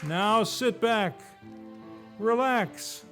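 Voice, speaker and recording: Male vintage radio host: high voice, slow showy announcing, faint music behind. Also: fairly bright tone, some chatter behind. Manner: showy, grandly enunciated. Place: theater stage, live show.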